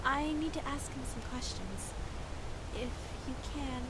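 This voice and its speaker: A woman's voice: high voice, a little slow, very noisy. A woman playing a stubborn, sarcastic young girl who has been left on her own, in video game dialogue. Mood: pleading. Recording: loud music over the voice.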